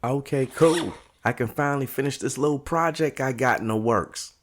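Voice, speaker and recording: A man's voice: medium pitch, moderate pace, a little hiss or hum, close to an everyday voice. A man playing an eager younger street sidekick in an audio drama, talking in casual slang. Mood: pleased, curious.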